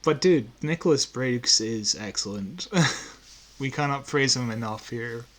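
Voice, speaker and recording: A man's voice: mid-range voice, unhurried, fairly bright tone. A man chatting casually as a podcast co-host, explaining trivia like a keen fan. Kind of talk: casual chat.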